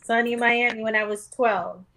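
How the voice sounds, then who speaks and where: A woman's voice: fairly high pitch, steady tone, normal speed, pushed and bright. A middle-aged woman guest from New York on a casual podcast, telling her story candidly and swearing freely. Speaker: guest author.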